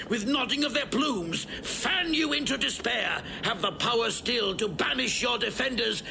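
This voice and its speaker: An actor playing a proud, scornful Roman war hero in a declamatory Shakespearean drama style. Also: male voice, extremely high pitch, vocal fry, full character voice. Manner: declamatory, scornful, drawn-out cries. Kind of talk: classical Shakespearean stage acting. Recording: noisy old theatrical recording.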